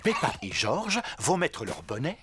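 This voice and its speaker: A woman narrating a children's cartoon as a calm storyteller, in simple, expressive phrases. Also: rumbling low, unhurried, very noisy, heavily acted. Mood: storytelling. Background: music.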